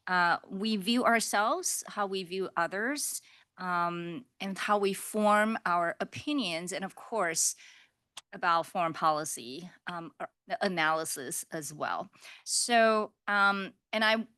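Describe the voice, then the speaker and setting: A middle-aged woman from Taiwan opens a panel talk as moderator. She chats easily and laughs often, with plenty of fillers, in a bright, slightly low voice. The sound is close and dry. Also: no echo.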